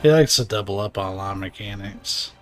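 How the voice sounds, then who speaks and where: A man's voice: lowish voice, fairly quick. A man giving casual, informal live commentary as he streams a video game, reacting off the cuff. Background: game music.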